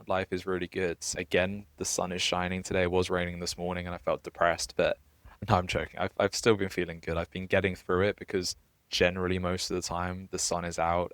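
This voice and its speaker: A man's voice: low-pitched, a bit bright, no echo. A young man co-hosting a podcast, talking in a casual, conversational way. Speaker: podcast co-host. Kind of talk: casual chat.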